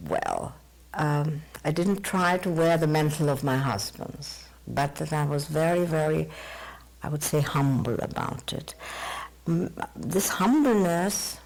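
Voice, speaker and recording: A woman in her sixties with an Austrian-tinged British accent, recalling the past slowly and thoughtfully in an interview, with many pauses. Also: deep voice, vocal fry, darkish tone, slightly rough, rough recording. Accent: Austrian-tinged British English. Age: 60s. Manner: measured and reflective, with many pauses. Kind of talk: recorded oral history interview.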